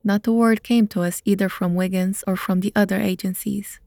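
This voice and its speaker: A woman narrating a scripted detective story in a measured, formal storytelling manner. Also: medium pitch, steady tone, gravelly, a little mellow, no room sound, studio quality.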